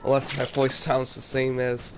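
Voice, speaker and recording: A man chatting casually while live-streaming a game, his voice scratchy and congested from a cold, delivered in a flat, monotone way. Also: medium pitch, moderate pace. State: stuffy from a cold or allergies. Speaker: gaming streamer.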